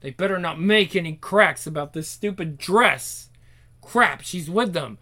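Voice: mid-range voice, measured pace, pushed and bright. A performer voicing a troubled, sarcastic, self-conscious young teenage girl in a dramatic inner monologue for a story. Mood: anxious, mortified.

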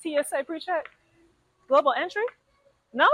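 A woman's voice: sits high, moderate pace, bright, forceful tone, slight background noise. A self-assured woman in her thirties, talking in a sassy social media skit. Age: 30s.

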